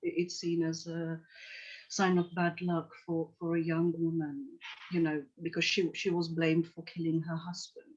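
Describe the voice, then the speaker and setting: A middle-aged woman from Tanzania speaks earnestly and reflectively, circling back with "you know" as she recounts her past. Her pitch stays level and even. The call audio sounds dull and lo-fi. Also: normal speed. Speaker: psychotherapist guest. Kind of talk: podcast interview storytelling.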